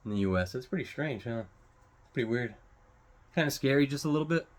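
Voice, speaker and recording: A man's voice: medium pitch, expressive, quick pace. An American man giving an unscripted, blunt opinion rant, swearing heavily and repeating phrases for emphasis. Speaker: vlogger giving commentary.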